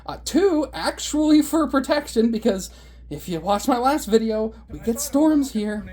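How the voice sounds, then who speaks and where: A man with a high voice, casually showing his hobby collection in a sarcastic, exasperated, exaggerating rant. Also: animated, measured pace, darkish tone. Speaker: hobbyist video host. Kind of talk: casual show-and-tell rant.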